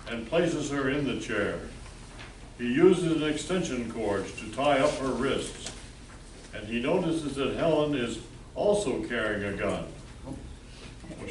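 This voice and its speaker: A man narrating an amateur play from a script, with deliberate storytelling delivery. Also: mid-range voice. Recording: roomy, distant mic with background noise.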